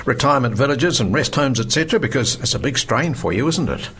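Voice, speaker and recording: A man's voice: medium pitch, lively intonation, quick pace, a bit bright, a little hiss or hum. A man hosting a local radio interview in an easygoing, chatty way. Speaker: radio host.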